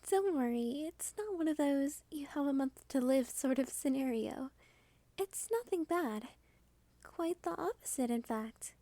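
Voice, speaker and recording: Woman playing a high, soft, slow-talking vampire girl. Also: dry recording. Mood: polite, coy. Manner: soft-spoken, polite, teasing, deliberate. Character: cute dominant female vampire, polite, coy, quietly possessive. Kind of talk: ASMR roleplay.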